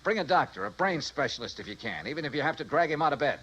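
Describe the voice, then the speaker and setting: An actor playing a tough, blunt insurance investigator with an American accent, brisk and forceful, in a 1950s radio noir drama. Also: male voice, a little high, big pitch swings, slightly rough, heavily acted, low-quality recording. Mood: urgent, commanding. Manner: brisk, forceful, plain-spoken. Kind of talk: 1950s radio noir acting, hard-boiled.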